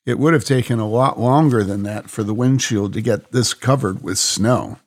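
A man voicing a calm, understated young traveler. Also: medium pitch, moderate pace, natural voice. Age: young adult.

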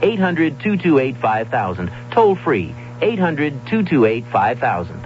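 A man's voice: mid-range voice, sing-song, measured pace. A man reading a scripted 1970s radio commercial as a polished, persuasive announcer. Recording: dull 1970s radio broadcast. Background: faint music.

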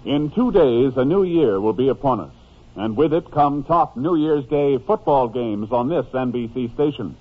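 A man's voice: medium pitch, expressive, normal speed, a little hiss or hum. An American man making scripted announcements in 1950s radio style. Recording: dull old radio broadcast, music behind.

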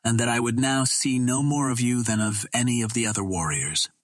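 A man's voice: medium pitch, a little fast, no room sound, clean studio sound, natural voice. A man voicing a proud, noble Martian princess in formal, stately words for an audiobook. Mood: proud, composed.

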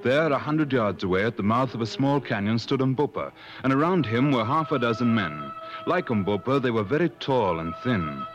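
An actor playing an earnest gentleman adventurer who also narrates, in 1950s radio drama style. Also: medium pitch, lively intonation, moderate pace, natural voice. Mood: solemn narrating. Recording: muffled old 1950s radio broadcast, music.

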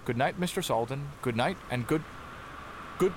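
An actor playing a prim, easily flustered Puritan minister in a period audio drama, speaking formally with a stammer. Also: male voice, mid-range voice, moderate pace, background noise, natural voice. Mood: stern, exasperated. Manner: stammering, formal, fussy diction.

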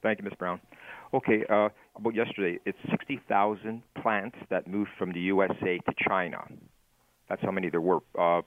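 A deep-voiced man talks casually as a phone-in caller. The telephone line makes him sound thin and band-limited, with no room echo. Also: steady tone, moderate pace. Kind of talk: casual opinion talk. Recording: dull, narrow phone line.

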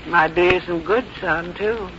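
An actress playing a plain-spoken old country mother, folksy, wry and quietly determined, in 1950s radio drama style. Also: lowish voice, unhurried, close to an everyday voice. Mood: gently coaxing. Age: older. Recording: dull, noisy 1950s radio recording.

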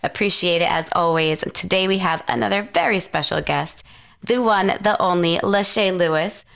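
A woman hosting an interview podcast, her voice raspy from a cold, upbeat and encouraging with her guest. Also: fairly low pitch, normal speed, fairly bright tone. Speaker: podcast host.

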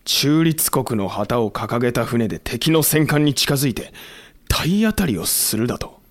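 Blunt samurai, dry close sound. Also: male voice, medium pitch, expressive, unhurried, no room sound. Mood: skeptical. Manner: terse, blunt, questioning. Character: blunt, proud samurai warrior wary of foreign tactics. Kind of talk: Japanese historical audio drama acting.

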